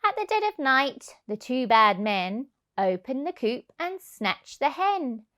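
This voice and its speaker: A woman reads a children's story aloud. Her voice is high, with very lively intonation, and she takes each line slowly for young listeners. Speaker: childminder reading stories to children.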